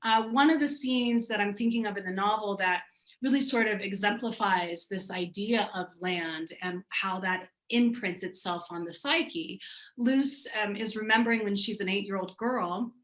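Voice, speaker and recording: A woman's voice: mid-range voice, moderate pace, fairly bright tone. A woman talking as a novelist on a panel, explaining her writing in a reflective, articulate way. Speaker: novelist on a book festival panel. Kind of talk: reflective talk about own writing.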